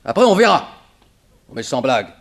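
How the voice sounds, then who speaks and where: An actor playing a cagey, indignant former burglar with a grudge, slangy and protesting, in 1960s French radio drama style. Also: male voice, medium pitch, big pitch swings, normal speed, creaky.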